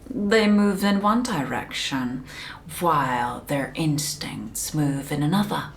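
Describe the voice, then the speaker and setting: A woman playing a calm, controlling institute specialist in a soft, breathy ASMR hypnosis roleplay, often whispering, with slow, soothing, clinical delivery. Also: deep voice, a little hiss or hum, heavily acted. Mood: measured, knowing. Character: calm, controlling female institute specialist conducting mind conditioning.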